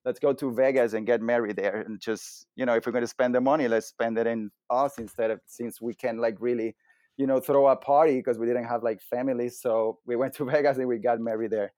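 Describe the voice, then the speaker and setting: A man's voice: mid-range voice, steady tone, a little fast, no echo. Venezuelan guest in his thirties, loose storyteller, stumbles and repeats, dry mic. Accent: Venezuelan Spanish. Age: 30s. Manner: rambling, repeats words, warm laughter. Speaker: guest, personal trainer. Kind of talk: casual interview chat.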